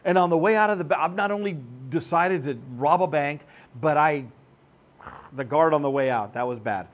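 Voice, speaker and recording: A man in his sixties preaching a sermon, animated and joking, on a church stage. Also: a little high, moderate pace. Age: 60s. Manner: animated, joking, gestures broadly while seated. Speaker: pastor. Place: church stage with band gear.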